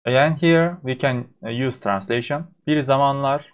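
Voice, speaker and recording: A man with a Turkish accent, a native Turkish speaker, teaching a course by walking through a software demo, with frequent fillers and halting restarts. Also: medium pitch, smooth. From Turkey. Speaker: course instructor.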